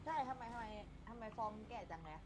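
A woman's voice: a little high, lively intonation, quick pace, background noise. A woman chatting casually as a player in an online role-play game. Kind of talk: casual gaming chat. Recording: voice chat amid loud chatter.

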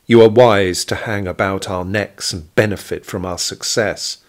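A man voicing a cold, haughty, contemptuous wealthy woman in a period audio drama, speaking with deliberate disdain. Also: fairly low pitch, fairly even intonation, natural voice. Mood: scornful.

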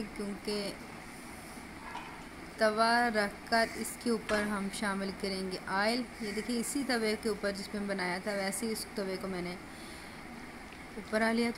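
Chatty home cook woman, noisy kitchen. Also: medium pitch. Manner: chatty, explains steps while cooking. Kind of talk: cooking tutorial narration.

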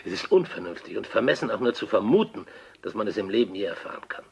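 A man's voice: on the low side, sing-song, fast, creaky, darkish tone. An actor playing an appalled, sceptical man in his late sixties in a radio crime play, agitated and hesitant, repeating his words in protest. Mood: indignant. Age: older. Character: appalled, sceptical sixty-eight-year-old male friend.

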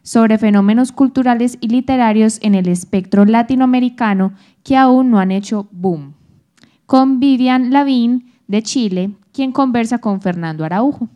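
A woman's voice: a little high, moderate pace, warm tone, highs cut off. A young woman formally presenting an event and reading announcements into a handheld microphone. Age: young adult. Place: auditorium stage.

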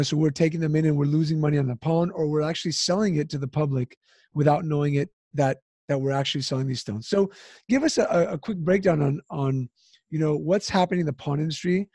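Husky, warm-toned podcast host. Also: male voice, on the high side, dry recording, clean studio sound. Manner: friendly, upbeat, asks rambling questions. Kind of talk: interview podcast. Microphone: studio microphone close up.

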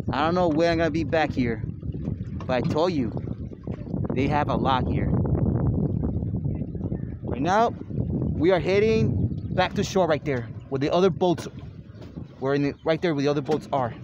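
Young man, slightly high voice, casual vlog narration, handheld phone outdoors, clearly noisy background. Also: muffled recording. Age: young adult. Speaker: vlogger, channel host. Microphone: phone at arm's length.

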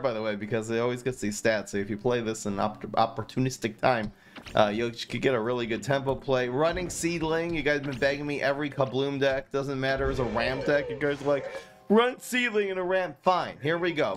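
A man in his thirties casually commenting on a card game he plays on stream, chatting and joking with his viewers from a small room. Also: medium pitch. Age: 30s. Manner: chatty, self-mocking, reads chat aloud.

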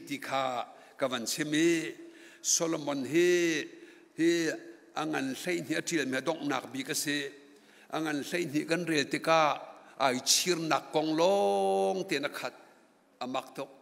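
A man's voice: a little high, creaky, a bit bright. A middle-aged man preaching a sermon from a pulpit microphone in a large church hall, rising to emphatic passages. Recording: long church hall reverb.

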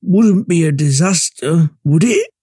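Downtrodden, argumentative male user, gritty audio drama. Slangy mutter, dark husky tone. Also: a little high, animated, unhurried, no echo, studio quality. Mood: defensive. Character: cowed but argumentative drug-using young man, Thomas.

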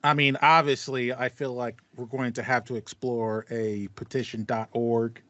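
A man's voice: medium pitch, level tone, moderate pace, fairly bright tone, no echo. A man chatting casually as a gaming podcast host, sympathetic and mock-indignant. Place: small room with acoustic foam, indoors. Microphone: headset on a video call. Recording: slightly dull, lo-fi online call.

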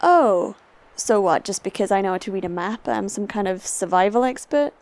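A woman's voice: medium pitch, lively intonation, normal speed, darkish tone, close to an everyday voice. An actress playing a capable young woman in an audio drama, dry and teasing toward her companion.

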